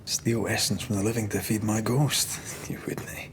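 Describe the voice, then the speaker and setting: An actor playing an earnest, soft-hearted ghost hunter with a Scottish accent and a plain-spoken manner, in a video game. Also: male voice, lowish voice, fairly even intonation, moderate pace, slight background noise, close to an everyday voice. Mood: teasing, tender. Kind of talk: naturalistic video game acting. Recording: faint music behind the voice.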